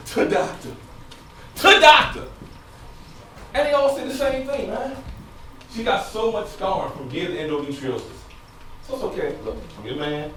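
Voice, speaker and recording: A man's voice: a little high, slight background noise. Guy acting a frustrated anguished husband in a stage play, real echoey and far from the mic, emotional monologue. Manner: emotional, swinging from quiet confiding to loud outbursts. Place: stage in a large indoor room.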